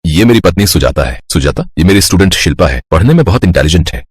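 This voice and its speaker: A man playing a polite, courteous schoolteacher with a husky voice in a story. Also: deep voice, expressive, fast, no echo, studio quality. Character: polite, handsome male schoolteacher, mildly surprised.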